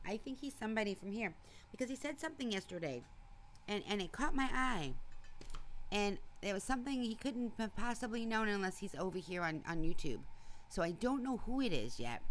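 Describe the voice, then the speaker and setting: A woman's voice: medium pitch, slight background noise. A woman hosts a casual morning livestream, rambling and calling out viewers by name. Her voice is wearing thin, as she is losing it, and it sits in a middle range. Faint room ambience hums behind her. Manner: chatty, rambling, greets viewers warmly by name. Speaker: livestream host. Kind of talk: casual chat with live viewers.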